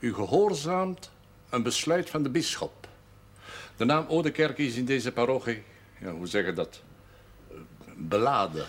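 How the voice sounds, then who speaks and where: Husky, formal elderly parish priest. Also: male voice, medium pitch, lively intonation. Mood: firm, authoritative. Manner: measured, formal speech sprinkled with French phrases. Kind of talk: 1970s television drama acting.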